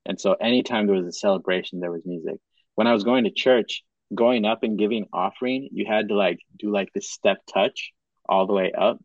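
A young man from Madagascar hosting a podcast, talking in a relaxed, casual way and restarting his sentences as he thinks. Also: fairly low pitch, brisk, dry recording, muffled recording. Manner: relaxed, says bro and dope a lot, thinks aloud with restarts. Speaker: podcast host.

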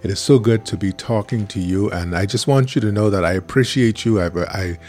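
A man's voice: lowish voice, a little fast, soft background music. A man hosting a podcast, friendly and prone to stammering restarts. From the Bahamas. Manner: warm, repeats himself, stammering restarts. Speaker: podcast host. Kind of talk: casual interview talk.

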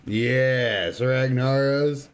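A man's voice: medium pitch, extremely slow, noisy background. A man co-hosting a casual gaming podcast, speaking in brief, agreeing interjections. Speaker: podcast co-host.

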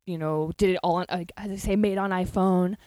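A woman's voice: fairly low pitch, steady tone, brisk, a little mellow, no room sound, clean studio sound. A twenty-two-year-old woman co-hosting a podcast, chatting casually in a laid-back, easygoing way. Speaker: podcast co-host. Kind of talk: casual chat.

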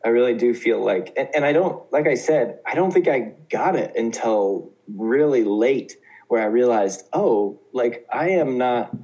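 A man's voice: medium pitch, expressive, measured pace, warm tone, dull audio. A man in his thirties from Oklahoma, a teacher, talking casually as a guest in an interview, with many fillers and restarts. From Oklahoma, USA. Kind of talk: conversational interview.

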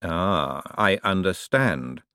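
A man reading aloud and voicing a cold, calculating ruler who speaks slowly with icy politeness. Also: sits low, lively intonation, sharp tone, no echo, clean studio sound, full character voice. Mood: coolly polite. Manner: slow, measured, icily polite. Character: cold, silkily menacing male ruler, patient tyrant.

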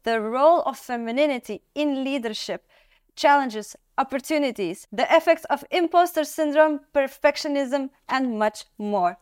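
A woman's voice: high-pitched, moderate pace, no room sound, studio quality. A woman hosting a podcast, interviewing her guest in a casual, conversational way. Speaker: podcast host.

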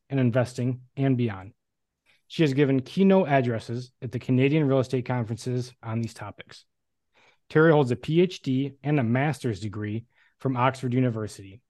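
A man co-hosting a podcast, reading a formal introduction of the guest in a steady, prepared delivery. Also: medium pitch, dry recording, studio quality. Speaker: podcast co-host.

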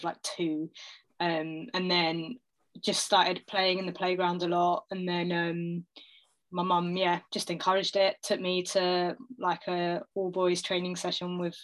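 A woman in her thirties with a London accent, chatting casually as an interview guest, self-deprecating and quick to laugh. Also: on the low side, low-quality recording. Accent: London English. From North London, England.

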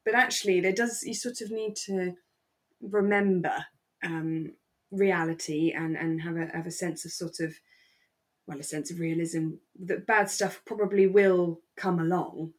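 A woman's voice: fairly low pitch, fairly even intonation, unhurried. A woman co-hosting a podcast in casual, reflective chat, hedging and thinking aloud as she goes. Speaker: podcast co-host. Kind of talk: casual review chat about a radio drama.

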